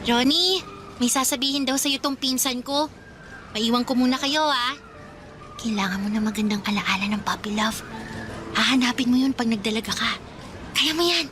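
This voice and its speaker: A woman's voice: a little high, normal speed, long pauses, fairly bright tone, slight background noise, close to an everyday voice. An actress playing a sentimental older girl cousin, confiding and encouraging, in an emotional Tagalog radio drama.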